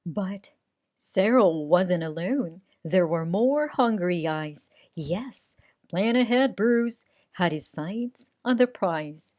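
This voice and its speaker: A woman reading a rhyming children's story aloud in an expressive, theatrical way with deliberate phrasing. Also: lowish voice. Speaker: storybook reader.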